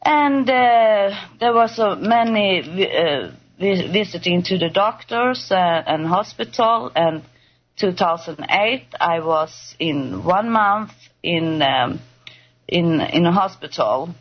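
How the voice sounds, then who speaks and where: A woman from Sweden with a Swedish accent, speaking English as a second language, telling her story as a radio guest, pausing often to search for words. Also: on the low side, expressive, lo-fi sound. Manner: halting, searching for English words, many fillers. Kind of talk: personal testimony on a call-in show.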